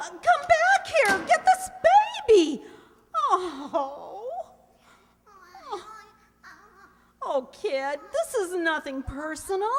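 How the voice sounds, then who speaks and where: A woman's voice: very high voice, full character voice. A woman acting a feisty, wisecracking young working woman in a radio comedy play, brash, slangy and emphatic. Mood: indignant, then fond, brisk. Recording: echoey hall.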